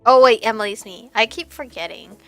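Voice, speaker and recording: A young woman giving casual, expressive let's play commentary, chatty and reactive. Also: fairly high pitch, a little fast, faint music behind. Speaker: gaming streamer. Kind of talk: casual let's play commentary with read-aloud game text.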